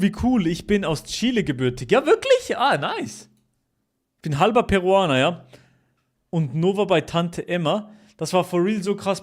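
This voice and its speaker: A young man with a voice a little higher than most men's. He chats loosely with his stream audience, joking, swearing and repeating himself. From Switzerland. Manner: chatty, jokey, repeats himself, swears at chat. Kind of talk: casual livestream chat with viewers.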